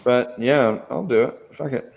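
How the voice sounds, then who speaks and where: Regular guy, medium pitch, just chatting casually on a sports panel. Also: measured pace, gravelly, lo-fi sound. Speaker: fantasy football league member on a panel. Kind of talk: casual chat.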